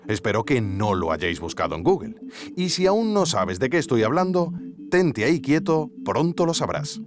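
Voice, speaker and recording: An actor from Spain playing a wry, curious male investigator narrating a podcast, with ironic conversational asides to the listener. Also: medium pitch. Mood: wry, intrigued. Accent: Spanish from Spain. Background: music.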